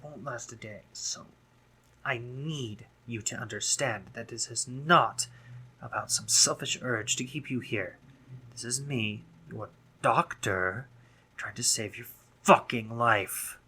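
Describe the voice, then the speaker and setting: A man playing a brooding, sardonic drider monster, a guarded but caring doctor, in an intimate roleplay audio drama, speaking formally with halting pauses. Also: medium pitch, sharp tone, slight background noise, rough recording. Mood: grave, gentle, then angry, bitter.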